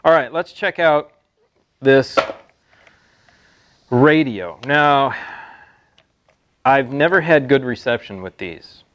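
An American man in his thirties giving a casual product review to camera in a halting, conversational way. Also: mid-range voice, expressive, a bit bright, dull audio, a little hiss or hum. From Texas, United States. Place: open metal-roofed stable, seated at a pickup tailgate.

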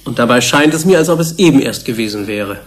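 An actor playing a defensive, grieving research psychologist in a German radio drama, explaining in a measured way. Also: male voice, mid-range voice, animated, lightly acted. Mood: subdued, grave.

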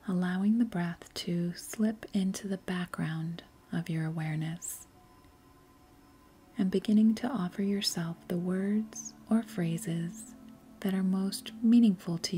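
A woman leading a guided meditation in a soft, breathy, gentle and soothing way, with unhurried pauses. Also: lowish voice. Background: faint music.

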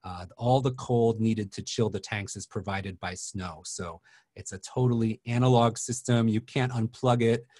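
A man's voice: lowish voice, fairly even intonation, moderate pace, warm tone, no echo, studio quality. A man in his forties hosting a video call interview, asking friendly leading questions. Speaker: host.

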